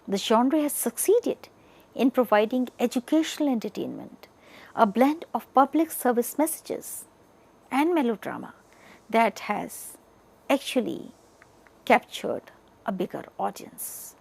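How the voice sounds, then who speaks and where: A woman with an Indian accent delivering an educational lecture in a deliberate way, stressing the starts of phrases and letting the ends trail off. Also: on the high side, big pitch swings, gravelly, darkish tone, slightly rough. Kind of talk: educational lecture, partly read from notes.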